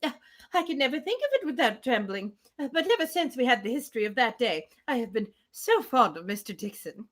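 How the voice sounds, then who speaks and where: Woman acting a high, swooping, chattering spinster gossip. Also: very animated, a little fast. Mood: fond, chattering. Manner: breathless, rambling, effusive. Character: chattering, gushing, good-natured spinster gossip. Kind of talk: classic novel read aloud in character.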